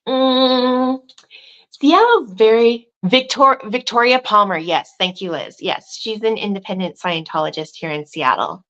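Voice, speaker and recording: A woman in casual conversation, thinking aloud with restarts and asides, who says she has a loud voice. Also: mid-range voice, a little slow, muffled recording. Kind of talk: casual chat.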